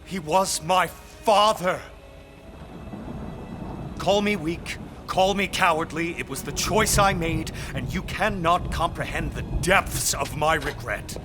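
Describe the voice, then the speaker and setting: A proud, bitter lord from a period audio drama. His voice is notably high for a man, with formal aristocratic phrasing and intense delivery. Music plays behind him. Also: takes it slowly. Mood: anguished regret.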